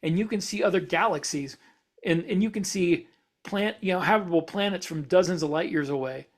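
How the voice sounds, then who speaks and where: A man's voice: high voice, brisk. A man talking casually as a podcast host, explaining ideas and thinking aloud as he goes. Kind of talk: casual discussion.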